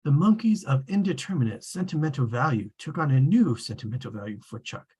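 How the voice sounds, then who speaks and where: A man at a table read, playing a wry, all-knowing storybook narrator with a husky voice. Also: mid-range voice, lively intonation, brisk, a little mellow. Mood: wry, measured.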